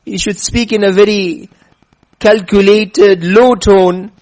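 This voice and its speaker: A man giving a religious lecture who tells a story and slows down to stress his points. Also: high-pitched, fairly even intonation, darkish tone, low-quality recording. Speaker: preacher. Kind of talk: religious lecture with chanted scripture.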